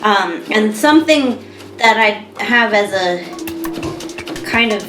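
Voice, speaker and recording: An American woman in her thirties co-hosting a podcast, chatting casually with clear, careful diction and frequent laughter. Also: medium pitch, lively intonation, slow pace. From United States. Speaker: podcast co-host. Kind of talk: casual chat. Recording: echoey room with music behind.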